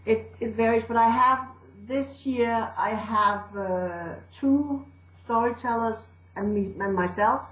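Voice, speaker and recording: A woman's voice: on the high side, slow, a bit bright, echoey room, poor audio. A woman from Denmark with a Danish accent, a storyteller and educator chatting in an interview with fillers and restarts. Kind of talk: casual interview talk.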